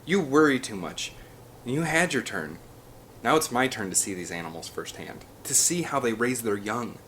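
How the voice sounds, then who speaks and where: A man's voice: mid-range voice, expressive, normal speed, fairly bright tone, a little hiss or hum, pitched above the performer's own voice, natural voice, rough recording. A man voicing a headstrong, confident woman scientist in an audiobook, insistent and emphatic. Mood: defiant, assured. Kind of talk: audiobook character dialogue.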